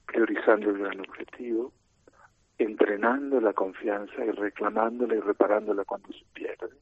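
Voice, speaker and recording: A middle-aged man with an Argentine accent, a team coach explaining his ideas in a measured, lecturing way in a radio interview. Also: fairly low pitch, lively intonation, vocal fry. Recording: tinny phone line.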